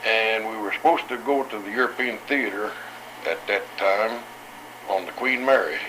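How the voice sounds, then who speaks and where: An elderly veteran tells his war memories in a plain, matter-of-fact manner. His voice is on the low side, with music clearly behind him. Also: normal speed, noisy background. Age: 80s or older. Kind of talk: oral history reminiscence.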